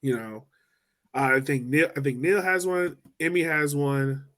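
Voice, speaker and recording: A man hosting a sports podcast with a slightly higher voice than most men. He talks in a loose, rambling way and works through his thoughts out loud. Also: a little slow. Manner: loose, rambling, laughs easily. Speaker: podcast host. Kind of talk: casual sports chat.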